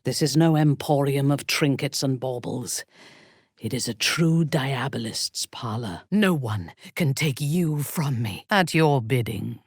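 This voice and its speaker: An actress voicing a ruthless, imperious female dark elf commander in a fantasy game, with haughty, formal, menacing delivery. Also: sits low, no echo, studio quality. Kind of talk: dramatic fantasy game voice acting.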